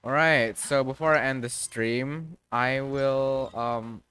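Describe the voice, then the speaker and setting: A man's voice: mid-range voice, slow, bright, forceful tone, clear, resonant tone. A man livestreaming a game with casual, blunt, sweary, laid-back commentary.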